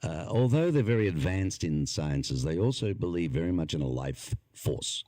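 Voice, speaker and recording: Male podcast host, very low and warm voice, lively swings in pitch, dry close sound. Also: normal speed, no room sound. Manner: rambling, animated, asks guests questions. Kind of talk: casual interview chat.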